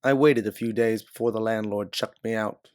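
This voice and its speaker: Man voicing a weary low-voiced stranded space pilot. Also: steady tone, moderate pace, clear, resonant tone, no echo, close to an everyday voice. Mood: wistful. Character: stranded male ex-pilot, weary, candid, curious. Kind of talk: audiobook character dialogue.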